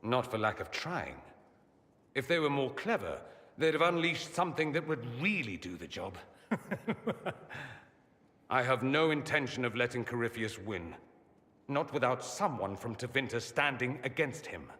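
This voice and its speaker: Theatrical, sardonic mage with lively swoops. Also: male voice, medium pitch, normal speed, pauses often. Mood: charming, wry. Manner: theatrical, sardonic, flippant banter. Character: witty, vain male mage from a fantasy empire, sardonic. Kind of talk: video game character dialogue. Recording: long hall reverb.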